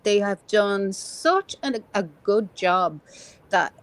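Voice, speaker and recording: A woman's voice: medium pitch, expressive, slow pace, no echo. A woman in her fifties talks politics with open passion. She is earthy and blunt, and casual swearing runs through her speech. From Ireland. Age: 50s. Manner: impassioned, earthy, swears freely.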